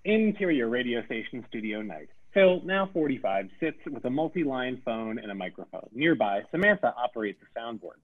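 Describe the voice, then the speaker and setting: A man with a husky voice reads script directions aloud over a thin, phone-like connection. His intonation moves up and down a lot. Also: mid-range voice, very animated, normal speed, few pauses. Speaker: stage-direction reader. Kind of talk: reading script aloud at table read. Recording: thin, phone-like, band-limited sound.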